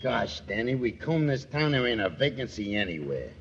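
A man's voice: medium pitch, sing-song, moderate pace, a bit bright, slight background noise. An actor playing a dopey, folksy young male sidekick in a 1940s radio comedy. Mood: goofy. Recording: dull old radio recording.

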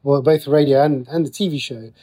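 Middle aged guy chatting in an interview, kinda high voice, rambles as he explains stuff. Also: steady tone, fairly quick, dark tone, husky. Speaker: writer and radio drama creator, interview guest. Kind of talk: casual interview talk.

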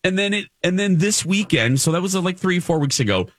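A man hosting a morning radio show, chatting casually and effusively, often halting and repeating himself. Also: high voice, lively intonation, no echo, studio quality. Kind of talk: casual chatty radio talk with personal anecdotes.

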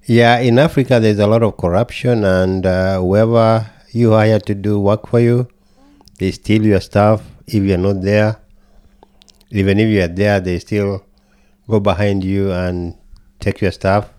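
A man from Kenya, a podcast guest who chats in a slow, deliberate way with deadpan humor. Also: on the low side, smooth, no room sound. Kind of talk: casual interview.

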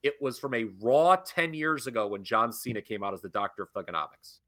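A young adult man co-hosting a podcast, ranting with animated disbelief in casual chat. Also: medium pitch, fairly quick. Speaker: podcast co-host. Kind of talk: casual wrestling review chat.

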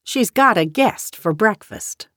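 An actress playing a practical, no-nonsense farm mother in an audiobook, brisk and commanding. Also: medium pitch, animated, sharp tone, dry recording, clean studio sound, full character voice. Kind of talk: audiobook full-cast character acting.

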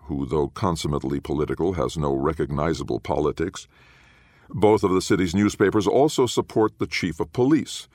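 This is a man with an extremely low voice narrating a literary story. His delivery is measured and solemn, shaped as scripted audiobook reading. The sound is dry, with hardly any room around it. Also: dry recording.

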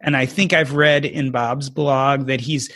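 A man's voice: mid-range voice, steady tone, unhurried, fairly bright tone, no room sound. Male podcast host, curious interviewer, dry tone. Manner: curious, leading questions. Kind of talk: conversational interview questions.

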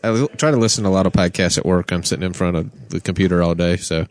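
A man guest on a live podcast, talking casually with halting restarts. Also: low voice. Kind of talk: casual chat. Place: convention hall.

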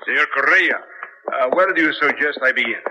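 An actor playing a courtly prison colonel with a Latin American Spanish accent, speaking formally and pompously in a radio drama. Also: male voice, mid-range voice, lo-fi sound, background noise, close to an everyday voice. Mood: self-satisfied. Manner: formal, pompous, hesitant pauses. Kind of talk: 1970s radio drama acting.

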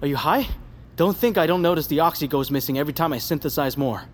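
A man's voice: on the high side, fast, soft background music, natural voice. An actor playing a wary, exasperated man guarding his patient in an audio drama, anxious and questioning. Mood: alarmed. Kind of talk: scripted audio drama acting.

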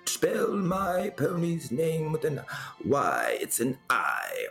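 A man's voice: on the high side, huge pitch swings, takes it slowly, gravelly, fairly bright tone, soft background music, pitched above the performer's own voice, heavily acted. A man voicing an eccentric elderly aunt who is fussy and insistent.

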